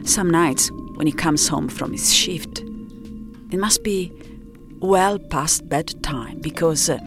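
Slow, low-voiced woman telling a story. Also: music in the background. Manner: reflective, unhurried with deliberate pauses. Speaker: narrator of her own audio documentary. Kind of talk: scripted first-person storytelling.

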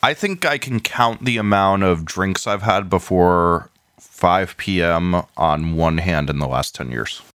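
Low-voiced man in his late thirties, stammering starts. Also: a little slow, a bit bright, clear, resonant tone, dry recording. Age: late 30s. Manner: stammering, repeats words as he starts sentences. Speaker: podcast co-host. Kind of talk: casual podcast banter.

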